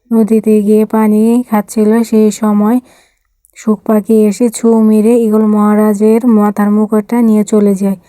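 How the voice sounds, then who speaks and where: Even, mellow woman storyteller. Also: medium pitch, no echo. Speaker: storyteller, narrator. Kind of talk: scripted children's fairytale narration.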